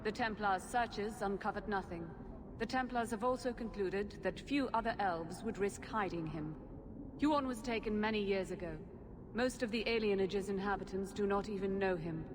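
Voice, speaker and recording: An actress playing an emotionless, efficient mage assistant in a video game, formal and precise. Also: female voice, mid-range voice, moderate pace, lightly acted. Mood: detached, precise. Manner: flat affect, formal, precise. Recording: long hall reverb, clear background noise.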